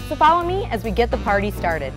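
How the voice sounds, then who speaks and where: A woman's voice: a little high, sing-song, fast talker, over music, poor audio. A woman in her thirties presenting and interviewing in a chatty, enthusiastic, upbeat way as a show host. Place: inside a supermarket wine section.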